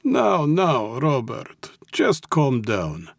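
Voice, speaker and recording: Man acting a clipped, smoothly menacing Russian mob boss. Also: medium pitch, huge pitch swings, drawn-out words, no room sound, studio quality, pitched above the performer's own voice, full character voice. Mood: smooth, falsely kind. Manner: clipped, pleasant, condescending. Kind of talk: audiobook character acting.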